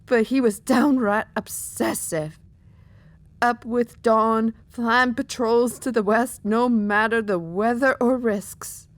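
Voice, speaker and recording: Lady playing a tired folksy mare captain, guard down, slowish, a bit high, dry audio drama. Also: fairly even intonation, dry recording. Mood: troubled. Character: grieving, weary mercenary captain pegasus mare with guard down.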